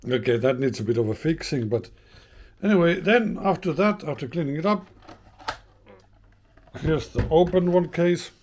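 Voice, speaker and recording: A man's voice: fairly high pitch, animated, measured pace, long pauses, darkish tone. A man giving an informal, unscripted hands-on demonstration, thinking aloud in a hesitant way as he explains. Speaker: host of a vintage radio repair channel.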